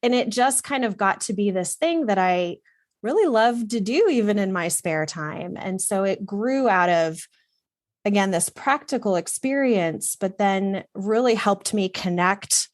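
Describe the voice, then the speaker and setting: A woman's voice: medium pitch, expressive, a little slow, no room sound, clean studio sound. Earnest, reflective middle-aged woman from Texas. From Texas, USA. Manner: reflective and earnest, with some fillers. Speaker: guest, wardrobe consultant. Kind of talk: casual conversational storytelling.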